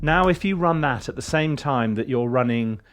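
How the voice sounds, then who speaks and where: A man giving a casual video tutorial as a composer and tutor, with a playful, rambling and animated way of talking. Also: medium pitch, moderate pace, slight background noise. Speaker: film composer and music tutor.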